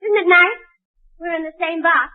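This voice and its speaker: An actress playing a wry, sarcastic schoolteacher in a 1940s radio comedy. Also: female voice, very high-pitched, moderate pace. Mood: coy, wry. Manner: dry sarcastic wisecracking. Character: wry, sardonic female high school English-type teacher. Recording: muffled old 1940s radio recording.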